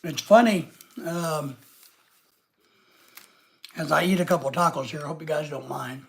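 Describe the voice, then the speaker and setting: A man's voice: fairly high pitch, normal speed, gravelly. A man hosting a casual live stream with a head cold, rambling and reading out viewer comments.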